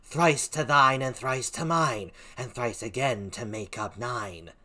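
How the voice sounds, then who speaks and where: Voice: mid-range voice, slow, bright, forceful tone. A reader voicing the weird sister witches, chanting spells with sing-song swings and sudden whispers. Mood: eerie chanting.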